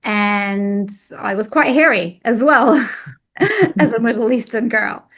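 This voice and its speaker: A woman's voice: on the high side, lively intonation, takes it slowly, fairly bright tone. A woman whose first language is Arabic, a weight loss coach who grew up in Egypt, speaking openly and reflectively about hard memories as a podcast guest. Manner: open and reflective, tells painful memories plainly. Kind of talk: candid personal storytelling.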